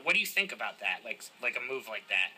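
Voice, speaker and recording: A man's voice: medium pitch, sing-song, quick pace, slight background noise. A man putting on a Massachusetts accent to play a brash, overly chummy prank caller who calls himself D-Spin. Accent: put-on Massachusetts. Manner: cocky, overly chummy, gets loud and indignant. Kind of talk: prank phone call. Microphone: recorded from a phone on speaker.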